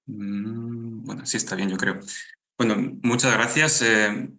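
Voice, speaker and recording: A young man from Spain presents formally on a video call. His voice is low, with little melody and a bright, forward edge. He is courteous and pauses hesitantly as he goes. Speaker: guest architect, award winner.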